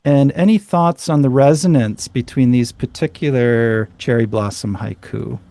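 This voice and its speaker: Soft-spoken man hosting a poetry chat. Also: mid-range voice, normal speed. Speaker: podcast host and haiku judge. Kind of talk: gentle reflective interview.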